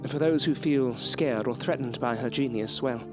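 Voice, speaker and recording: An actor playing an earnest, devoted young medical assistant, speaking formally and reverently in a Gothic audio drama. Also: male voice, medium pitch, normal speed, over music, lightly acted. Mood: solemn awe. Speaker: actor narrating and playing a character.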